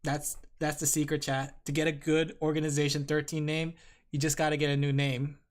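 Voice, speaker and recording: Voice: medium pitch, little pitch movement, a little fast. A vtuber streamer who giggles often and chats playfully with viewers while drawing. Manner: giggly, playful, talks to chat. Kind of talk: casual livestream chat while drawing.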